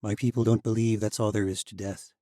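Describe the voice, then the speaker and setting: A man's voice: flat delivery, a little fast, mellow, no echo, studio quality, lightly acted. A man doing a weary haunted young man. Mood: pleading. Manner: hesitant, low and pleading. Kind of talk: audiobook character voice.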